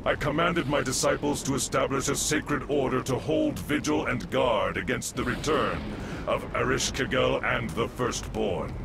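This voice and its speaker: A solemn ancient priest spirit, acted by a man in a video game cutscene. The voice is gravelly and the delivery is grave, formal oratory, with heavy rumble and noise behind it. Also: normal speed, hardly any pauses, natural voice.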